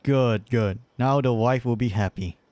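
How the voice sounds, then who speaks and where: A man's voice: mid-range voice, measured pace, darkish tone. A man streaming live, giving casual, slangy, rambling commentary on a game.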